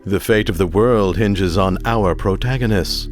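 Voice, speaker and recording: An actor playing a sardonic, melodramatic narrator and pitchman of an old-time radio serial, arch and grandiose. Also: measured pace, over music, natural voice. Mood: wry, ominous. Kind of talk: 1930s radio announcing.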